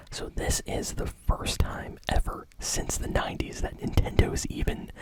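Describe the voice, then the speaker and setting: Whispering woman, ASMR gaming news host. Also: rough recording. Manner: enthusiastic, conversational, unscripted.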